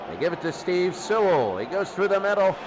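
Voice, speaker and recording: A man's voice: high voice, sing-song, a little fast, heavy background noise. A man doing live football play-by-play in short, repetitive sentences, as the announcer. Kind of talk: live play-by-play sports commentary.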